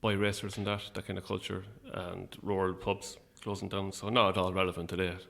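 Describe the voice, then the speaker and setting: Deep, gravelly middle-aged Irish man. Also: level tone, fairly quick, fairly bright tone. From Ireland. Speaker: guest, playwright and actor. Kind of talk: casual interview chat.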